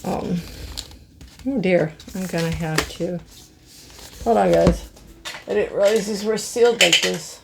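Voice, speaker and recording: A middle-aged woman with a hoarse, scratchy voice, chatting slowly to viewers as she reviews art pens and marvels at their colors. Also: lowish voice. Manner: slow, musing, easily amazed, talks to viewers. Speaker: craft channel host. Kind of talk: casual product review chat.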